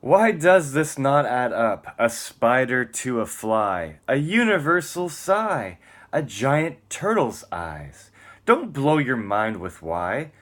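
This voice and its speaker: Man reading aloud with very lively, swinging intonation. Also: medium pitch, slow pace. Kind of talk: dramatic spoken-word reading of texts and lyrics with casual asides.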